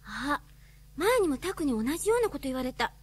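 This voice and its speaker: Very high, flighty young woman. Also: big pitch swings, fairly quick, dry recording. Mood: flustered. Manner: flustered, easily riled. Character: flighty, excitable, naive young woman writer. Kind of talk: radio drama acting.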